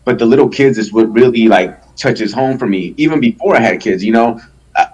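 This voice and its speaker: A man from El Salvador, a guest in a casual interview, talking haltingly with many restarts and fillers and swearing freely. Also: medium pitch, a little mellow, lo-fi sound. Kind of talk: casual conversation.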